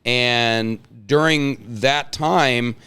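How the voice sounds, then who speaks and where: A man's voice: mid-range voice, extremely slow, pushed and bright, clean tone. A man in his forties hosting a casual interview, talking informally with slang and rambling asides. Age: 40s. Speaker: host.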